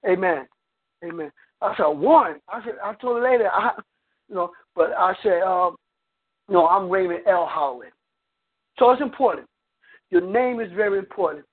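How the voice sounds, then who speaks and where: A man's voice: high voice, animated, moderate pace, pauses often, slightly rough. A man, the guest preacher, telling a story in his sermon and breaking it up with "come on, amen" as he goes. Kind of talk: preaching by phone conference. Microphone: phone. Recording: tinny phone line.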